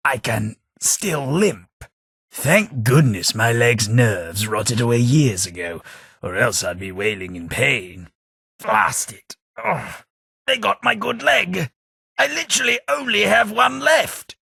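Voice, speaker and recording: A man's voice: mid-range voice, creaky, sharp tone, breathy edge, dry recording, heavily acted. A man voicing a snobbish, sardonic male ghoul aristocrat with an English accent, delivering game companion lines in a prim, dry upper-class drawl. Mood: wry. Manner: prim, snobbish, wryly sarcastic.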